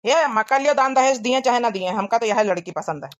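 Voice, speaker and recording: A woman's voice: on the high side, expressive, quick pace, dry recording, highs cut off, lightly acted. A woman voicing an eager, smitten young village son with an Awadhi accent in a comedy cartoon. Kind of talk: rural comedy cartoon dialogue.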